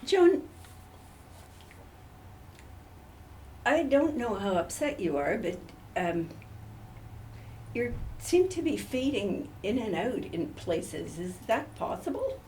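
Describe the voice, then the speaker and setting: A woman's voice: a little high, very animated, slow, dark tone, a little hiss or hum. A woman playing a polite, sympathetic interviewer in a scripted comedy mock news report. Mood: polite, professional.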